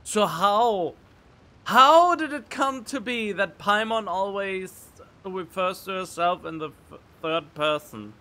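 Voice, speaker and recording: A man giving casual let's play commentary as a game streamer, halting and repeating words as he thinks aloud. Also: sits high, pushed and bright.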